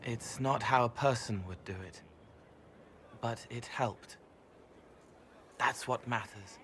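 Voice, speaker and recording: A man voicing a soft-spoken young spirit. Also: medium pitch, background noise, lightly acted. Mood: gentle. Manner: soft, halting, dreamy fragments. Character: gentle young male spirit, soft and strange.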